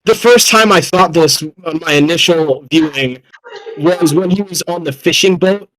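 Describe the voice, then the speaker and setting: Young man, high voice, podcast guest, casual film chat, excitable, effusive, sweary. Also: moderate pace, rough recording.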